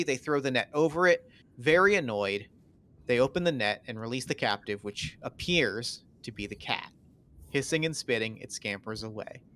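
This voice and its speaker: A man's voice: medium pitch, a bit bright. A man narrating stage directions at a script table read in terse, clipped, dramatic phrases.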